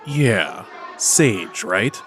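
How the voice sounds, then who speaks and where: A man's voice: on the low side, animated, drawn-out words, fairly bright tone, soft background music, a faint crowd, heavily acted. Insistent, take-charge hero played by a man. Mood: brisk, persuasive. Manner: decisive, insistent, talks over objections. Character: brisk, commanding male celebrity hero directing a musical. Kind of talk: audio drama dialogue.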